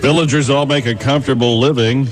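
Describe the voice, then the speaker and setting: A man's voice: medium pitch, steady tone, fast. A man working as a radio DJ and host, with wry, jokey patter as he reads reminders and oddball stories. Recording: radio aircheck with music behind the voice. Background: music.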